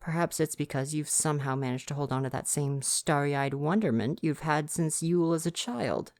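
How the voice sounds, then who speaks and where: A man's voice: a little high, moderate pace, no room sound, clean studio sound, close to an everyday voice. A man playing a prim, devoted royal butler with formal, fretful diction in an ASMR roleplay. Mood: reluctant, fond.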